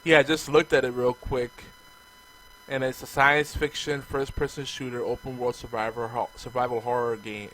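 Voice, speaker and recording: A man co-hosting a casual podcast, chatty and relaxed, his voice going hoarse as he gets sick. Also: mid-range voice, normal speed, slight background noise, poor audio. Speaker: podcast co-host. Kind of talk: casual chat.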